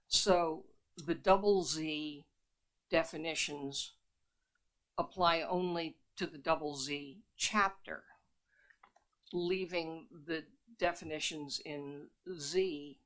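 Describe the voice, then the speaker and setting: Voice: medium pitch, a bit bright. Methodical committee member explaining a bill.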